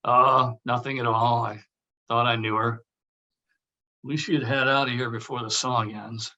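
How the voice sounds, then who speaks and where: A man's voice: medium pitch, fairly even intonation, measured pace, pushed and bright, muffled recording. A man playing a casual, evasive buddy in a script table read. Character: casual, evasive male buddy with a dark streak.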